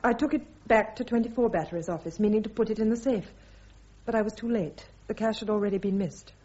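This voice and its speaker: A woman's voice: mid-range voice, fast, mellow, lightly acted. Woman acting british female army officer on the witness stand, clipped earnest respectful, radio play, bit dull sounding. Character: loyal, forthright young female army captain giving testimony. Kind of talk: radio courtroom drama acting. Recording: slightly dull radio drama recording.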